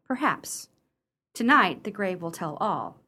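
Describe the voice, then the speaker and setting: A woman's voice: medium pitch, big pitch swings, slow, bright, forceful tone, slightly rough, exaggerated voice. A woman acting a sceptical, genteel lady and loyal sister in a radio play, formal and arch.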